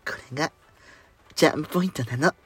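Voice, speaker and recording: A man playing a devoted, lonely wife in an amateur radio drama, speaking softly and coyly. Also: on the high side, fast talker, breathy edge, voice pushed up. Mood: coy, tender. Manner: soft, coy, hushed. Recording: background music under speech.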